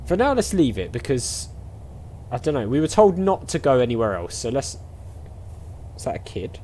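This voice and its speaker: A man's voice: mid-range voice, big pitch swings, moderate pace, dark tone, slight background noise. A chatty man giving casual gameplay commentary as host, with game ambience behind him. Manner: chatty, reads game dialogue aloud. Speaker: gaming video host. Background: faint game ambience.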